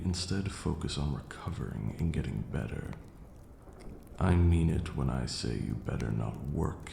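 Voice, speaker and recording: A man playing a stern, aloof vampire lord in an ASMR roleplay, speaking in a formal, haughty way with a gravelly, breathy near-whisper. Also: rumbling low, full character voice. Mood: stern, scolding. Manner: formal, haughty, slow scolding lecturing delivery. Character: stern aloof ancient male vampire lord, grudgingly caring. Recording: roomy echo, clear background noise.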